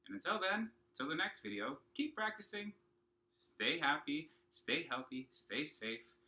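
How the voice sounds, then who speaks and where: A man's voice: a little high, big pitch swings, measured pace, sharp tone. A man giving an upbeat, encouraging lesson to children, talking to them directly and asking questions as he goes. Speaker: children's singing and opera teacher. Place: at home.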